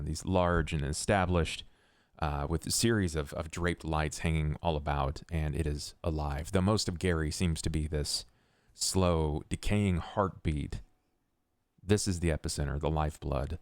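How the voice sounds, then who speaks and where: A man improvising scene description for a tabletop game podcast in a halting but dramatic manner. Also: rumbling low, steady tone, no echo, clean studio sound. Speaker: player on actual-play podcast.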